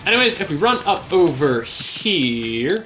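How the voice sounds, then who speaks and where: A man's voice: a little high, big pitch swings, a little slow, bright, forceful tone. Chatty young man narrating gameplay. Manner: chatty, self-deprecating, bouncy exclamations. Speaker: let's play host. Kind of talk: casual gameplay commentary. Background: game music.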